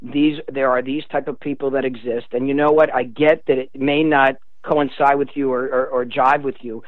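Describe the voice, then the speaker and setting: A man's voice: medium pitch, flat delivery, moderate pace, no room sound. An older man speaking as an interview guest, explaining things in an earnest, emphatic way. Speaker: guest author. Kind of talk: explanatory radio interview talk. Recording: tinny narrow phone line.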